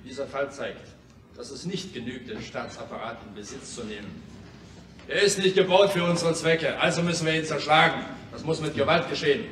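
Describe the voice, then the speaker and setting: An actor playing a ruthless radical agitator in a radio play, hard, demanding and declamatory. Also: male voice, fairly high pitch, a little fast, noisy background, natural voice. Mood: grimly resolute. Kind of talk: theatrical radio play oratory. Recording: echoey hall, distant radio play recording.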